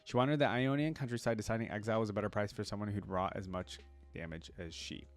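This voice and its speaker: A man with a fairly low voice makes a reaction video. He moves between reading reference text aloud and casual commentary, thinking through details as he goes. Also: steady tone, fast talker, soft background music. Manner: thinks aloud, interjecting between read passages.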